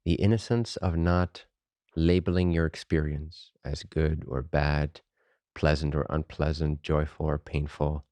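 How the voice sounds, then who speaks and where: A man leading a guided meditation as a teacher, unhurried and informal, speaking in short phrases with pauses between them. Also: extremely low pitch, warm tone, dry recording.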